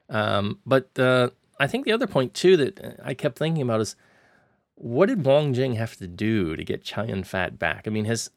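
A man with a gravelly voice gives a casual film review on a podcast. He stammers through restarts as he hunts for words, and the recording is dry and close. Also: mid-range voice, dry recording.

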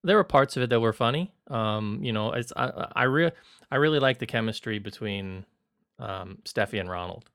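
Smooth-voiced man, lower pitch, stumbling. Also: normal speed, a bit bright, no echo, studio quality. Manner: stumbling, repeats words. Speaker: film podcast co-host. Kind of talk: casual film review chat.

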